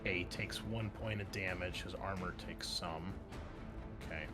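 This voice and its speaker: A man's voice: sits low, fairly even intonation, normal speed, over loud music. A man acting as game master, narrating and refereeing a tabletop roleplaying game in an informal, conversational way.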